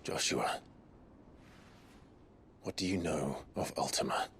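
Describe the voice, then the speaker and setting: An actor playing a brooding, troubled warrior with uncanny powers in a fantasy game, his voice hushed and breathy. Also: very deep voice, slow, whispered, heavily acted. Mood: somber. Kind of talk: dramatic fantasy game cutscene acting. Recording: loud music behind the voice. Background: loud music.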